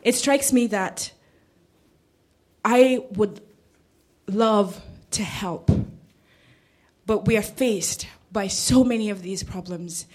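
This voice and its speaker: A woman from Kenya speaks up from the audience at a public event. She is earnest and halting, often restarting and rephrasing her thought, in a mid-pitched voice. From Kenya, Nairobi. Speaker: audience member, conflict studies student. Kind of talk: audience comment at a public panel.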